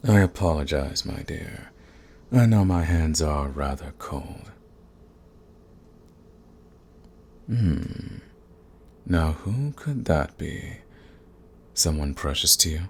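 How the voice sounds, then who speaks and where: An actor playing a suave, sadistic vampire lord in an ASMR roleplay, with a theatrical, silky, condescending manner and a husky, gravelly voice. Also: male voice, extremely low pitch, lively intonation, darkish tone, a little hiss or hum, exaggerated voice. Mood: mock sympathy. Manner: theatrical, silky, condescending endearments, drawn-out phrasing. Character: suave sadistic male vampire lord, predatory, mocking, possessive.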